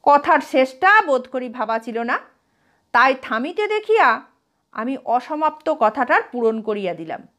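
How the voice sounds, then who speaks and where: A woman reading literary fiction aloud as a narrator, in an expressive, dramatic scripted style. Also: high voice, a little slow. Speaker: story narrator.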